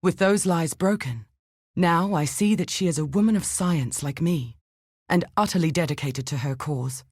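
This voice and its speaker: A woman's voice: sits low, normal speed, a little mellow, breathy edge, dry recording, studio quality. A woman playing an earnest, analytical female archaeologist and scientist in a video game, speaking precisely and formally. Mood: grave, thoughtful. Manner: precise, formal, articulate, thoughtful. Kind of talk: scripted video game dialogue.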